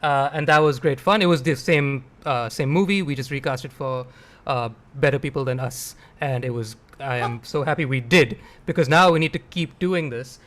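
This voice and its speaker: A man's voice: mid-range voice, moderate pace, fairly bright tone. A man with an Indian accent co-hosting a casual livestream chat in a joking, informal manner. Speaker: co-host of an online table read.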